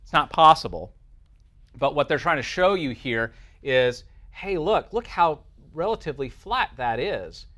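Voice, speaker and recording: A man's voice: a little high, animated, a little slow, fairly bright tone. A man teaching a class in an informal, explanatory way, walking through technical material and checking in with listeners as he goes. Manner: explanatory, checks in with listeners using right and okay. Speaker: teacher, lecturer.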